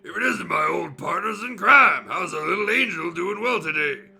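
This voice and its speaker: A man acts out a brash dinosaur barkeep from a read-aloud story. The voice is hard and very forceful, with lively swings in pitch. He sounds jovial and utterly callous. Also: normal speed, vocal fry, heavily acted. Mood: smugly jovial.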